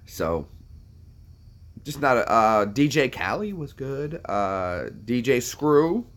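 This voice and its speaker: A man's voice: mid-range voice, very animated, slow. A young American man chatting casually to viewers, answering questions in a rambling, self-deprecating way with lots of fillers. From United States. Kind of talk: casual chat.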